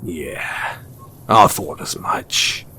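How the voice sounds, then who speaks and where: A man's voice: sits low, lively intonation, bright, forceful tone, a little hiss or hum, exaggerated voice. A man playing a mysterious, wry and cynical wasteland merchant in a post-apocalyptic audio roleplay, speaking colloquially and knowingly straight to the listener. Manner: unhurried, colloquial, knowing, with pointed pauses.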